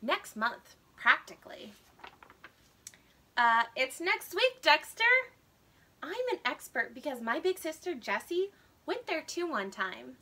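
An eager little kindergarten boy from a storybook, played by a woman. He is high and bright, boastful yet anxious, and swings up and down excitably. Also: slow pace, heavily acted. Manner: excitable, childlike, expressive storybook delivery.

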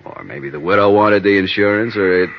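An actor playing a wry, world-weary police detective sergeant in a clipped, matter-of-fact 1950s radio drama style. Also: male voice, fairly low pitch, a little fast, creaky, a little hiss or hum. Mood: dryly wry. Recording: muffled old-time radio recording.

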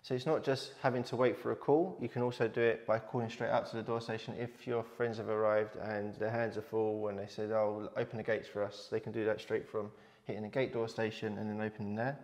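A man's voice: lowish voice, little pitch movement, fairly quick, a little mellow. A man in his thirties explaining an installation in a matter-of-fact, instructional way, like a tradesman presenting a walkthrough. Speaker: smart home installer presenting his company's work.

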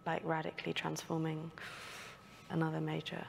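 Flat, gravelly-voiced woman thinking aloud. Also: low voice, normal speed, long reverb tail, a little hiss or hum. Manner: thinks aloud, hesitant, many fillers. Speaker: panel guest. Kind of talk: panel discussion.

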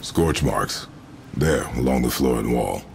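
Battle-hardened game warrior father: extremely low, creaky, terse and formal, under prominent music. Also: animated, a little slow, slight background noise, exaggerated voice. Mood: stern, curt. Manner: curt, formal, no contractions. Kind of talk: video game character acting.

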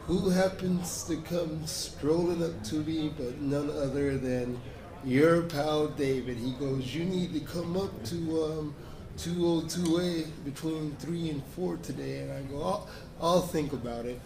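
A man in an audience speaking into a handheld mic in a large convention hall, telling an earnest, unhurried story to a panel. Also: a little high, steady tone, crowd noise. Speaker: audience member. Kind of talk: spontaneous speech to a panel.

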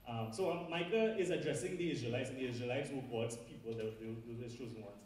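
A man's voice: mid-range voice, fast talker, a little mellow, a little hiss or hum. A man from Trinidad with a Trinidadian accent, giving a conversational sermon with fillers and asides to a large audience. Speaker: preacher. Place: large lecture hall with a big audience. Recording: very echoey hall, voice heard from far off.

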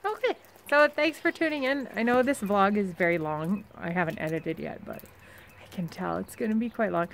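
A woman's voice: medium pitch, expressive, normal speed, creaky, a little hiss or hum. Chatty young woman vlogging at a barn. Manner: chatty, talks fondly to her horses. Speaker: vlogger, horse trainer. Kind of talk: casual vlog chat and voice-over. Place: outdoors at a barn and paddock. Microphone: phone held at arm's length.

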